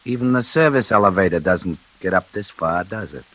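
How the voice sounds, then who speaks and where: An actor playing a quiet, menacing night elevator man in an old-time radio suspense drama, speaking slowly and insinuatingly. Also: deep voice, lively intonation. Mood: quietly menacing. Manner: slow, insinuating.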